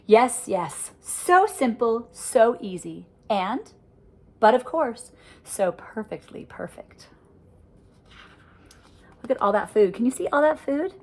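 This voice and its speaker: A woman's voice: on the high side, takes it slowly, pauses often. Grandmotherly woman reading a picture book. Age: older. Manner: lively, sing-song rhyming delivery with asides about the pictures. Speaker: storyteller reading to children.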